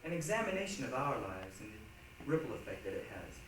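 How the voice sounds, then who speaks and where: Brisk stage reciter, far-off and echoey. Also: mid-range voice, very animated. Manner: brisk, emphatic presenting. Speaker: reader's theatre performer. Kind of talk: theatrical recitation. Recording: echoey hall from far off, faint noise.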